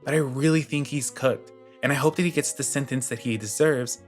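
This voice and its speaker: A man's voice: medium pitch, fairly quick, faint music behind. A man reading a scripted commentary voice-over, blunt and sarcastic. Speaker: commentary channel host.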